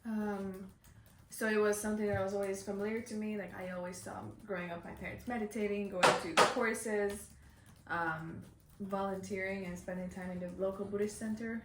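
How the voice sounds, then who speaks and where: A woman's voice: mid-range voice, steady tone, moderate pace. A woman in her thirties, from Hungary and raised in Finland, answering interview questions thoughtfully with frequent ums. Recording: distant mic in echoey room with noise.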